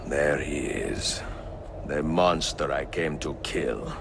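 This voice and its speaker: A man playing a stern, seasoned Italian master assassin in a video game, grave and measured, with an Italian accent. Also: a bass voice, gravelly, echoey room, background noise, full character voice. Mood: cold, contemptuous. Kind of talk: dramatic video game cutscene acting. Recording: game audio under loud music.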